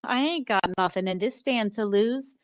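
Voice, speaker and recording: A woman acts out a grumpy country woman who runs a roadside stand in a radio play. She sounds fairly high and close in a dry room, folksy and scolding, full of suspicion toward strangers. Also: normal speed, no room sound, natural voice. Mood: indignant, scolding. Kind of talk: amateur radio play acting.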